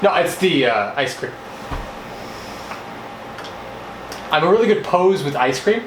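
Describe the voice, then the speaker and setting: A man's voice: fairly high pitch, sing-song, a little fast. A man playing a cocky would-be top model at a job interview, boasting with a showy air. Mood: boastful. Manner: showy, innuendo-laden boasting. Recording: roomy with background noise.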